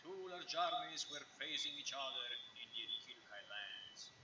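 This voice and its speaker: A man's voice: mid-range voice, lively intonation, moderate pace, background noise, close to an everyday voice. A man voicing a grand epic narrator of battles for a fantasy game voiceover, declaiming theatrically. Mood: grand, solemn. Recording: slightly dull, lo-fi stream audio.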